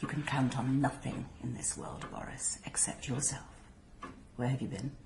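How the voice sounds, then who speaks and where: An actress playing a worldly, imperious married countess, arch and drily mocking, in a radio drama. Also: female voice, extremely low pitch, fairly even intonation, normal speed, creaky, whispered. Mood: probing. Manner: arch, cool, commanding.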